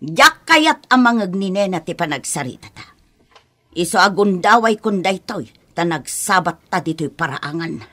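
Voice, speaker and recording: A woman playing a stern, protective grandmother in a radio drama, forceful and scolding. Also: lowish voice, lively intonation, moderate pace. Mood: confronting. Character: stern, protective grandmother confronting her daughter-in-law. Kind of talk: Ilocano radio drama acting.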